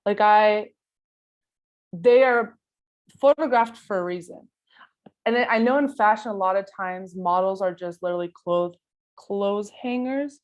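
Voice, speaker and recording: A woman's voice: mid-range voice, measured pace, long pauses, lo-fi sound. A woman guest photographer answering interview questions casually and informally, thinking aloud with plenty of filler phrases. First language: not Spanish; Spanish is second language. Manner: informal, thinking aloud, frequent "like" and "you know". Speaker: guest photographer giving an artist talk.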